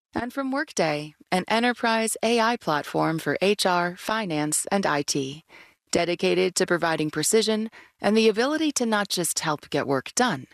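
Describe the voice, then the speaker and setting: Lowish-voiced female radio sponsorship announcer. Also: no echo, studio quality. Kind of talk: scripted public radio underwriting read.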